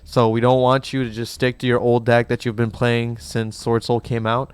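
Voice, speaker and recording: A young man hosting a gaming channel, chatting in a rambling, excitable way while sick with a cough, with a mic set close to him. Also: medium pitch, moderate pace, clear, resonant tone, dry recording. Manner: excitable, rambling, swears casually. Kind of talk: casual reaction commentary. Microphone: desk mic set too close.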